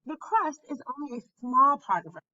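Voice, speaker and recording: A woman reading a children's science book aloud with deliberate, emphatic phrasing, like a teacher for young learners. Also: sits high, sing-song, pushed and bright, dry recording, muffled recording. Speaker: school staff member reading a textbook aloud.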